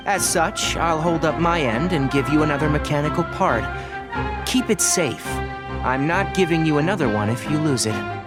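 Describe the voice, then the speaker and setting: An actor playing a calm, scholarly young male researcher in a video game, articulate and measured as he explains. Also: a little high, natural voice. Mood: matter-of-fact, firm.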